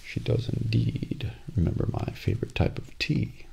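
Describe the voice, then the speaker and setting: A man playing a composed, guarded professional assassin in a soft-spoken ASMR role play, with a measured, deadpan delivery and a breathy, gravelly voice. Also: lowish voice, a little hiss or hum. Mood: calm, mild. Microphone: close binaural microphone.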